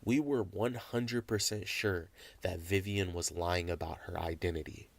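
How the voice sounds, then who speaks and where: A man giving measured, serious scripted narration for a documentary show. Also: low-pitched, gravelly, dry recording.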